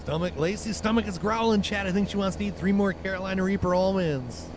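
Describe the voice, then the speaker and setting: A man's voice: high voice, fast, noisy background. A man hosting a live stream while driving in a truck game, chatting casually with blunt talk and lots of fillers. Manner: rambling, filler-heavy, blunt.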